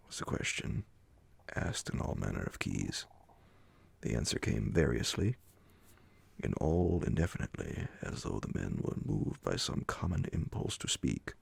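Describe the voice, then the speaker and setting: A man narrates classic horror as a bedtime story, very low and heavily creaky. His delivery is breathy and often near a whisper, unhurried and full of dramatic pauses. The sound is dry and close. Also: dry recording. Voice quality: gravelly, breathy, often sinking toward a whisper. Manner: dramatic, drawn-out phrasing with many pauses. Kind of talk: slow ASMR bedtime audiobook narration.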